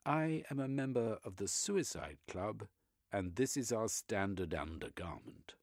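A man voicing a prim, nervous British gentleman with a British accent who speaks formally. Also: lowish voice, expressive, a little slow, dry recording, clean studio sound, pitched above the performer's own voice. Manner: formal, flustered, reserved.

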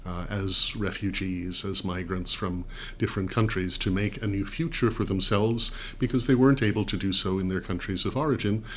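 A middle-aged man from New York, a guest telling stories in a measured, articulate way with dry humor. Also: low voice, fairly even intonation, a bit bright, a little hiss or hum. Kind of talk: conversational storytelling.

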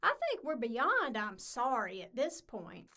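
A woman's voice: a little high, sing-song, a little slow, pushed and bright, full character voice. A woman playing a brash, bossy, jealous young-spirited Southern woman with a hot temper in an audio drama. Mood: menacing.

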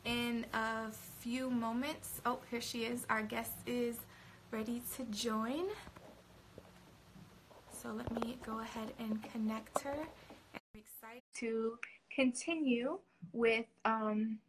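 A woman's voice: a little high, flat delivery, takes it slowly, slight background noise, poor audio. A woman hosting a casual livestream interview. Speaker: host.

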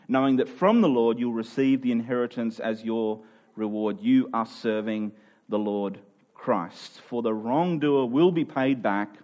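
A man's voice: medium pitch, a little mellow, lo-fi sound. A man preaching in a conversational, expository way, informal and emphatic, often checking in with his listeners.

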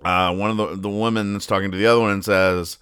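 A man hosting a podcast, reading out and explaining comic strips in a deadpan, mock-serious manner. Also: low voice, fast talker, smooth, no echo, studio quality. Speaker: podcast host.

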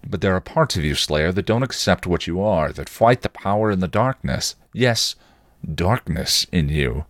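A cocky, passionate vampire voiced by a man reading a story. He goads and presses insistently in a low, dry-sounding voice with animated swings of pitch. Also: normal speed, dry recording. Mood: intense. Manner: provocative, insistent, goading. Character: cocky, taunting, passionate male vampire with British slang.

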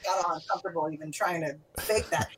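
A middle-aged woman with a lowish voice rambles through casual conversation, laughing often. She loses her thread and circles back, and her speech is full of fillers. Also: fast, husky, low-quality recording.

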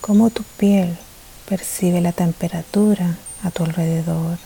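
Very mellow, slow, creaky woman guiding meditation. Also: lowish voice, fairly even intonation, rough recording. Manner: soft-spoken, often drifting into breathy near-whisper; soothing, gentle phrasing with pauses. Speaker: meditation guide. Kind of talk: guided meditation. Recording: faint hiss behind the voice.

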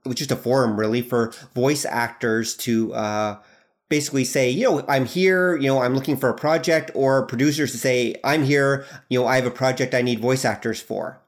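A man talks as a guest on a casual podcast. He explains things fluently at an unhurried pace. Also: mid-range voice. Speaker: podcast guest and audio drama producer.

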